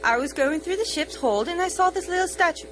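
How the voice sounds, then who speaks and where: A woman playing an excitable, easily frightened ship's crew member in an amateur radio drama, theatrical and urgent. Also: extremely high pitch, brisk, slight background noise. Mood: curious, uneasy.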